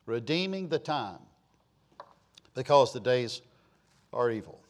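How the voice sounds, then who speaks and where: Man, 60s, pastor; unhurried, measured sermon delivery with repeated lines and loud emphatic swells. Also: medium pitch, animated. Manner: slow, repetitive preaching with rising emphatic swells.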